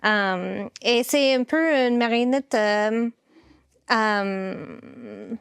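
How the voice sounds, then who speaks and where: Slow, hesitant woman speaking French. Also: fairly high pitch, vocal fry, clean tone. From United States (Baltimore, Maryland). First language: English. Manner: hesitant, many filler sounds and restarts. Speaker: puppeteer. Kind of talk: interview answers. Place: her puppet workshop.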